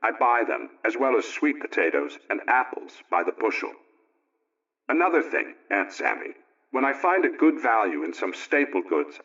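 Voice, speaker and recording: A muffled old radio recording carries a low-pitched, homely househusband. He reads his household tips like a scripted 1920s letter, folksy, orderly and contented. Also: fairly bright tone.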